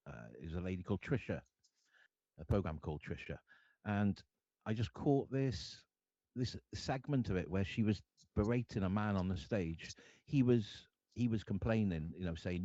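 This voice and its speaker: A Welsh man telling his own story as a guest, speaking haltingly with many restarts. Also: fairly low pitch, dark tone, no room sound. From Wales. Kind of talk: personal story in an interview. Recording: dull lo-fi sound.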